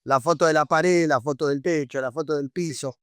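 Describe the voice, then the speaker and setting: A man's voice: on the high side, steady tone, fairly quick, no echo, studio quality. A man, a podcast guest who talks with enthusiasm and keeps restarting his sentences. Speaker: guest, brand designer. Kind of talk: casual interview chat.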